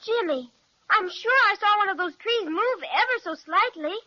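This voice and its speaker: A woman's voice: extremely high pitch, animated, normal speed, exaggerated voice. A kind little girl. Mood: curious. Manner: gentle and soothing. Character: curious kind young girl. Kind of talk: 1930s radio serial acting. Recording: dull lo-fi 1930s radio transcription.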